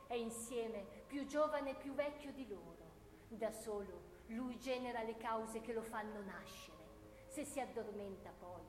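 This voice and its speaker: An actress playing a sardonic orator who delivers a poetic theatrical monologue in a declamatory, ironic way, with deliberate pauses. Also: female voice, fairly high pitch. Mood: mock admiration. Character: sardonic woman orator grandly praising hatred. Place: live radio theatre performance in a hall. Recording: echoey theatre hall, noisy distant recording.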